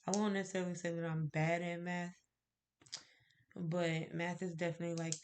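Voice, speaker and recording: A woman's voice: on the low side, level tone, normal speed, slight background noise. A woman with a stuffy cold, sounding nasal, chatting casually to camera about her candle-making and rambling with fillers and little laughs. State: stuffy, congested.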